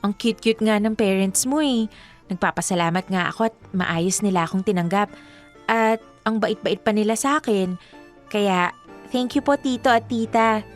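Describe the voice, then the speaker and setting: A woman's voice: mid-range voice, normal speed, soft background music, lightly acted. An actress playing a sweet, polite young Filipino girlfriend, gushing and giggly, in a radio drama. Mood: warm, grateful. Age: young adult.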